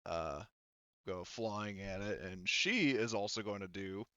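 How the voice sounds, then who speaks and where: Slow, halting game master, clean-voiced man. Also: low-pitched, pushed and bright, lo-fi sound. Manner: hesitant, thinking aloud while working out rules. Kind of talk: running a tabletop roleplaying game, casual.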